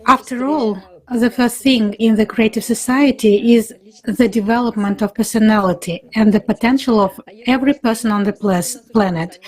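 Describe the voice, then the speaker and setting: A woman's voice: on the high side, darkish tone, poor audio. A woman speaking earnestly and idealistically in an unscripted panel discussion.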